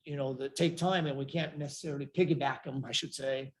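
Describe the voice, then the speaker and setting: A man chairing a city council meeting, speaking haltingly with many restarts and fillers. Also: medium pitch, steady tone.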